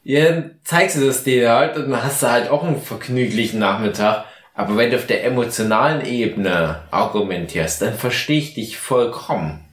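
A man's voice: mid-range voice, normal speed. Tipsy rambling man in an echoey room. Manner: rambling, mock-philosophical musing. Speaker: podcast co-host. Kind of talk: casual chat.

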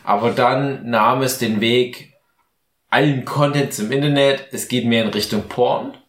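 A man's voice: medium pitch, steady tone, unhurried, fairly bright tone. Tipsy man bantering in an echoey room. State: tipsy from mixed drinks. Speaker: podcast host. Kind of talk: casual, bantering podcast chat.

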